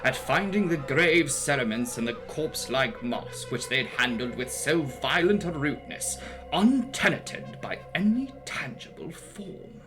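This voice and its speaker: A man's voice: fairly high pitch, expressive, measured pace, low-quality recording. A man narrating a horror story as a dramatic audiobook reading, with a theatrical, solemn and emphatic delivery. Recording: music behind the voice. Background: music.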